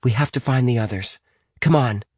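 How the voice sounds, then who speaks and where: Audiobook role, male reader: earnest young girl leader, decisive, raised pitch, close dry sound. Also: normal speed, darkish tone, no room sound, close to an everyday voice. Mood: urgent. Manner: urgent, reasoning, decisive. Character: decisive, earnest teenage girl leading friends in an adventure novel.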